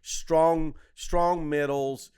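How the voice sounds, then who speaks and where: A man's voice: on the high side, fairly even intonation, drawn-out words, darkish tone, clean tone. Casual, rambling man talking shop. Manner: rambling, repeats himself, salty language. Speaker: podcast host. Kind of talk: casual podcast chat about comedy.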